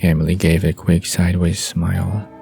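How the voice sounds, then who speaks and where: A man narrating a bedtime story softly from a script. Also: low-pitched, flat delivery, moderate pace, creaky. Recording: soft guitar music behind the voice.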